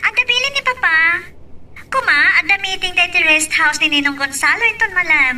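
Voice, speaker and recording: A woman's voice: very high-pitched, a little fast, sharp tone, full character voice. A woman with an Ilocano Filipino accent playing a chirpy, affected young lady in an audio drama phone scene. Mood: sugary. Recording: distant, faintly noisy recording.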